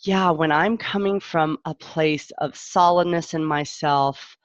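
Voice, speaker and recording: A woman's voice: sits low, no echo. Reflective middle-aged woman, dull muffled recording. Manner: reflective, candid, halting with self-interruptions. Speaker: parent coach. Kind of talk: casual live-streamed talk to viewers.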